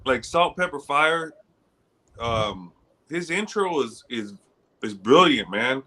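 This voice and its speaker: A man's voice: medium pitch, sing-song, slow pace, bright, forceful tone. A man talking casually on a podcast, loose and slangy, often restarting his sentences. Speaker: guest pitmaster.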